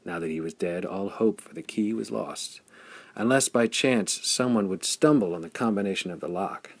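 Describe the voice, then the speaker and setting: A man's voice: lowish voice, normal speed, vocal fry, breathy edge, no echo. A man reading a pulp adventure story as scripted audiobook narration.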